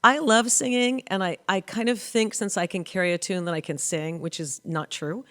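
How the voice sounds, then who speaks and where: A woman's voice: medium pitch, fairly even intonation, moderate pace, fairly bright tone. Chatty woman host telling stories. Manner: laughing, storytelling. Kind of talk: casual chat. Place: home studio with a lit backdrop. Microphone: studio mic on a boom arm.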